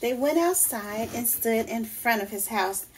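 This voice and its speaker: A woman teacher reading a children's picture book aloud in a deliberate, expressive storytelling way. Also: a little high, a little hiss or hum, rough recording. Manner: slow, deliberate, animated storytelling for young listeners.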